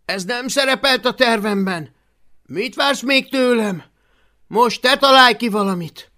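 A man voicing a brash, cheeky young Viking boy who talks in a loud, excited way. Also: extremely high pitch, voice pushed up.

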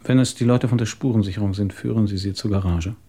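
Radio crime drama inspector: dark-toned, husky, sceptical, quietly insistent questioner with dry manner. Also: male voice, fairly low pitch, fairly even intonation, quick pace. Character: calm, probing, sceptical male police inspector. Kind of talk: German radio drama acting.

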